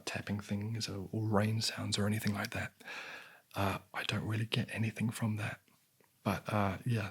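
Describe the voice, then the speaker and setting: A young New man talks softly and unhurriedly into a close mic. His lowish voice is husky and gravelly, worn raspy as if he is losing it. He keeps stopping to clear his throat between answers. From New Zealand. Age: 20s. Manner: soft-spoken, unhurried, frequent throat clearing. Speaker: ASMR channel host. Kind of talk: soft-spoken Q&A ramble.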